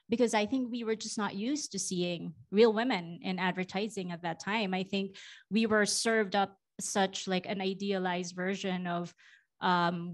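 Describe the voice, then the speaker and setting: A woman in her forties from the Philippines, Filipino her first language, speaking as a guest on a conference stage with a handheld mic, reflective and full of ums. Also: on the low side. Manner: warm, reflective, many ums. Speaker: panel guest. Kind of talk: fireside chat on stage. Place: conference stage before a live audience.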